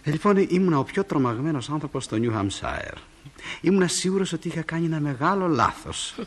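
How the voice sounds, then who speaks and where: A genial middle-aged family father in a radio drama. His husky voice swings widely in pitch as he reminisces with sentimental warmth and expressive delivery. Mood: fond. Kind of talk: radio play acting.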